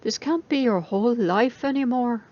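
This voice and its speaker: A woman voicing a caring, brightly cheerful young female student in a dramatic story reading, exclamatory and encouraging. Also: a little high, normal speed, dull audio, voice pushed up, natural voice. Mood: gentle, reassuring.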